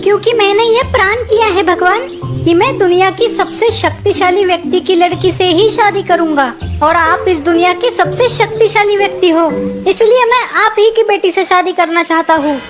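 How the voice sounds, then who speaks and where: Super squeaky little cartoon mouse, full of himself, music playing behind. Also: moderate pace. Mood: eager, earnest. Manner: self-important, declamatory. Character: small boastful mouse set on marrying. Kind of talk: children's cartoon story acting.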